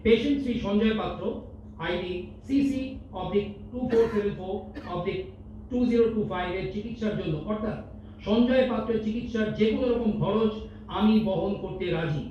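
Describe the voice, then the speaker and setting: Man acting anguished high-pitched boss, echoey hall. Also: dark tone, a little hiss or hum, natural voice. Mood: resolute. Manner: emotional, earnest, dramatic pauses. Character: troubled, soft-hearted company managing director, a father torn by conscience. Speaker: amateur stage actor in an audio drama competition. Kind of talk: staged Bengali audio drama acting. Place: multipurpose hall stage. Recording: echoey hall, distant mic, dull sound.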